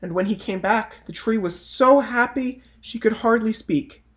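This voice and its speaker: Male storyteller for children's read-aloud, measured picture-book narration with soft endings. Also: high voice. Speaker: storyteller reading aloud for a library.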